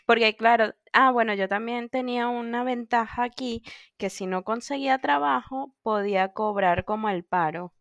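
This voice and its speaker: A woman co-hosting a podcast, telling stories in animated casual chat with quoted asides. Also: on the high side, normal speed, no room sound. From Venezuela. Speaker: podcast co-host.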